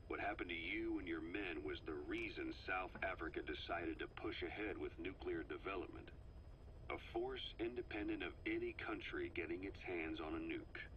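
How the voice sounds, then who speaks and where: An actor playing a middle-aged intelligence operative in a game's cassette tape, briefing in a measured, matter-of-fact way. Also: male voice, low-pitched, fairly bright tone, lo-fi sound, a little hiss or hum.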